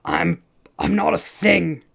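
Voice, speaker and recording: A woman's voice: lowish voice, sing-song, slow, gravelly, breathy edge, exaggerated voice. A woman voicing a small frightened male skeleton creature, stammering and babbling on the verge of tears. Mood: panicked.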